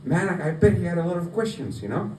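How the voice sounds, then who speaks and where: Man, Greek accent, amateur stand-up delivery, informal and halting, lively intonation, roomy echo, clearly noisy bar recording. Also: high voice. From Greece. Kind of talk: stand-up comedy storytelling to an audience. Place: live open mic night in a bar. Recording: roomy, distant mic, noisy bar open mic. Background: room noise, audience laughter.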